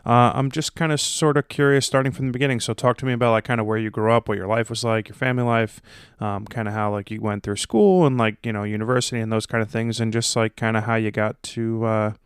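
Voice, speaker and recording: A male podcast host asks friendly, rambling questions, padded with "uh" and "kinda." His voice is smooth and resonant. The sound is dry and close, with no room around it. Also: fairly low pitch, steady tone, fast talker, no echo. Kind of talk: casual interview questions.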